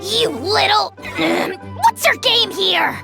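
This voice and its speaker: A woman voicing a small, cheeky, childlike fairy companion in a video game, squeaky and excitable. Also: drawn-out words, vocal fry, music in the background, heavily acted. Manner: third-person self-reference, blunt.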